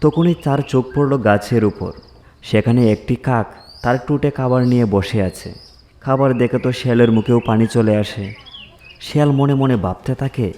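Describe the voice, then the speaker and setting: A man's voice: mid-range voice, moderate pace, mellow, a little hiss or hum. A man narrating a scripted animal fairy tale as a storyteller.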